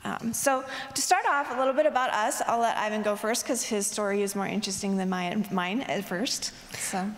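A young woman speaks earnestly into a handheld mic on a large chapel stage, often pausing with ums and you knows. Her voice sits in a middle pitch range and rings through a roomy hall with a long reverb tail. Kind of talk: testimony talk.

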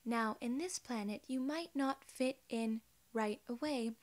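A soft-spoken woman in her twenties doing an ASMR role-play as a polite alien gatekeeper, with measured, formal phrasing, close to the microphone. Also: a little high. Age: 20s. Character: young, polite, friendly female alien gatekeeper. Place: small indoor room.

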